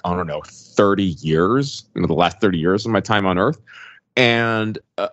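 Low-voiced man, informal, dull dry recording. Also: fairly bright tone, no room sound. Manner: informal, conversational, opinionated. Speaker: podcast panelist. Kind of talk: casual chat.

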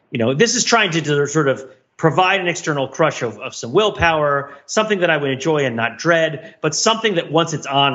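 A man's voice: medium pitch, sharp tone, muffled recording. A middle-aged man co-hosting a casual podcast, explaining things at length in an analytical, rambling, mock-academic way. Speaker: podcast co-host.

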